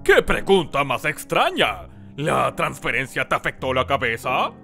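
A man's voice: mid-range voice, big pitch swings, measured pace, gravelly, pushed and bright, faint music behind, full character voice. A man voicing a flamboyant, eager cartoon ringmaster with a showy, grandiloquent way of talking. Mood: chipper, showy. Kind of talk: theatrical cartoon dub acting.

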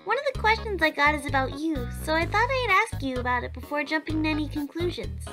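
A woman's voice: high-pitched, lively intonation, fast, music in the background. A woman voicing a cheerful, earnest child who answers questions for a blog in a comic dub. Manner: bright, chatty, earnest.

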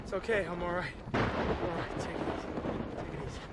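An actor playing a wary lone survivor in a video game cutscene, talking to an animal and muttering to himself. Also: male voice, sits high, a little fast, very noisy, lightly acted. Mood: soothing, cautious.

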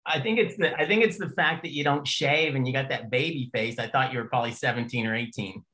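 A man's voice: mid-range voice, quick pace, pushed and bright. An older man hosting a motorsport podcast, introducing and questioning a guest in a rambling, folksy, joking way. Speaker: podcast host. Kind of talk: casual podcast interview.

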